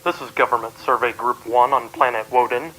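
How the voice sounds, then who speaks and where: A man acts out a strained male radio operator at a remote planetary outpost. The delivery is sharp, clipped and urgent, coming through a radio filter with faint music behind it in a science-fiction radio play. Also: medium pitch, steady tone, fairly quick, rough recording. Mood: anxious, urgent. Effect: radio transmission filter. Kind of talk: 1950s science-fiction radio drama acting.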